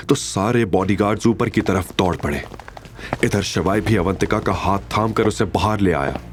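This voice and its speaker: Low-pitched man narrating with drama. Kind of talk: dramatic scripted story narration.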